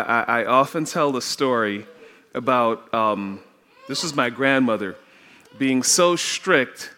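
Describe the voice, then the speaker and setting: A man's voice: medium pitch, pushed and bright, clean tone. A man from Trinidad with a Trinidadian accent, preaching a sermon in a church and telling personal stories with frequent laughter. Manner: slow, storytelling, often laughing. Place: church sanctuary.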